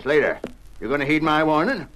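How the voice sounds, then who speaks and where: An actor playing a stubborn, threatening old ranch owner in a radio western, giving blunt orders in folksy cowboy talk. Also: male voice, on the high side, expressive, fairly quick, fairly bright tone. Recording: faintly noisy old radio recording.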